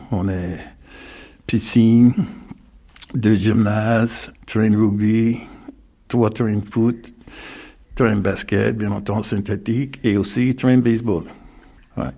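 An older retired man from North Carolina with an American accent, speaking haltingly in an interview with many fillers and restarts. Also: lowish voice, vocal fry, a little mellow, husky. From United States, North Carolina. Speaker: interviewee, retired community mediator. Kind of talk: reflective interview talk.

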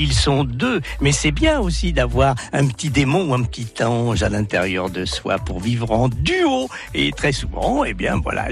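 A man's voice: a little high, breathy edge. A man giving horoscopes as a radio guest, emphatic and sing-song, repeating each sign's name. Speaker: astrologer guest.

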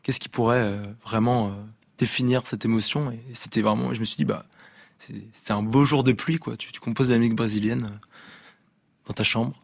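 A young man from Brittany speaks fast in a gravelly voice, stumbling and restarting as he goes. He sits in a dry radio studio, answering as a musician guest. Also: mid-range voice, fairly even intonation, no room sound. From Rennes, Brittany, France. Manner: hesitant, many restarts and fillers. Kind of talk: radio interview.

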